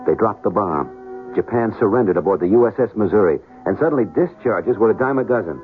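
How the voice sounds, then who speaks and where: Actor as low-voiced, cynical American pilot narrator. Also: male voice, expressive, quick pace, lightly acted. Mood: rueful, brooding. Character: cynical, philandering military pilot recounting his past. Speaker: lead actor and first-person narrator. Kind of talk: old-time radio drama acting and narration. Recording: muffled radio recording with music.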